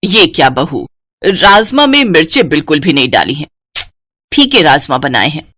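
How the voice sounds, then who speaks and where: An elderly Indian mother-in-law played by a woman storyteller, fussy and critical. Her voice sits lower, with a bossy, complaining way of giving orders. It is a moral-story reading with very little room sound. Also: sing-song, moderate pace, sharp tone, no room sound, clean studio sound, heavily acted. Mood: scolding, dissatisfied.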